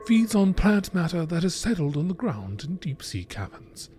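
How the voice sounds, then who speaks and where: A man's voice: sits high, big pitch swings, moderate pace. A man reading scripted narration in the style of a nature documentary. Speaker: narrator reading game lore entries. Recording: clearly noisy background ambience.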